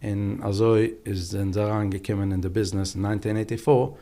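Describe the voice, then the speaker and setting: A man in his forties from England, a podcast guest telling his story in a calm, unhurried way. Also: lowish voice. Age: 40s. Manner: calm, unhurried, mixes languages freely. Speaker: podcast guest, business leader. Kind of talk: relaxed interview storytelling.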